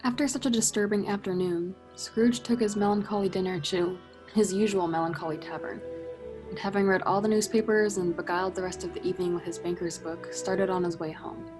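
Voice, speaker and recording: A woman narrating a radio play as a scripted story, husky and measured with dramatic delivery. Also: mid-range voice, steady tone, music in the background.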